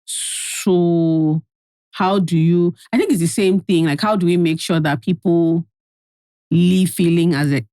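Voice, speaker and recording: A woman's voice: on the low side, unhurried, dark tone, dry recording. A young woman co-hosting a podcast, teasing her friend and laughing often in casual chat. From Nigeria. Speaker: podcast co-host.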